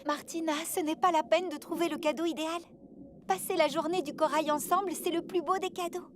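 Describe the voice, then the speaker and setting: A woman's voice: very high-pitched, steady tone, brisk, music in the background. A woman voicing a kind, understanding young girl in a children's cartoon, sincere and soothing. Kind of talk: dubbed children's cartoon acting.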